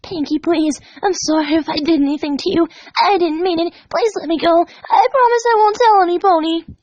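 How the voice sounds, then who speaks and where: A woman voicing a terrified female pegasus pony in a fan story, pleading haltingly and desperately for her life. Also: very high voice, darkish tone, no echo, dull audio, pitched above the performer's own voice.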